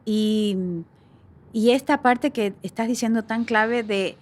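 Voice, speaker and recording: Higher-voiced woman hosting a faith podcast. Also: fairly even intonation, slow. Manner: warm reflective, many fillers. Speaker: podcast host. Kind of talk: faith-based conversational podcast.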